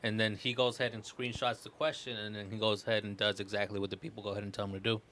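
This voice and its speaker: A man's voice: fairly low pitch, flat delivery, very fast, pushed and bright, a little hiss or hum. A man co-hosting a casual podcast, talking in an emphatic, slangy way and often addressing the others as bro. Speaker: podcast co-host.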